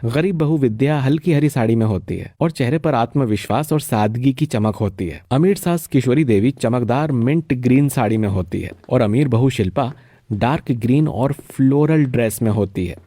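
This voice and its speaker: A man narrates a cartoon story from a script. His voice is dark and mellow at a medium pitch, recorded very dry with no room sound. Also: lively intonation, moderate pace, no echo.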